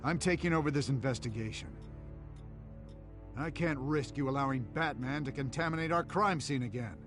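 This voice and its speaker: Guy playing a stern bossy police commissioner in a game, medium pitch, clipped, music behind him. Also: normal speed, natural voice. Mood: stern, hostile. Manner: curt, commanding. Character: stern, distrustful senior police commissioner, adult man. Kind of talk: dramatic video game acting. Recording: music under the voice.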